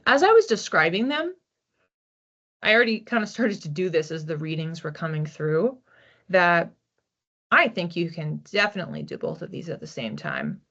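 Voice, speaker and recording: Woman, card reader, chatty and musing, lower pitch, muffled home-style audio. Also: very animated, normal speed. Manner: chatty, thinking aloud with restarts and soft laughs. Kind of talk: relaxed solo oracle reading. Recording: slightly dull lo-fi audio.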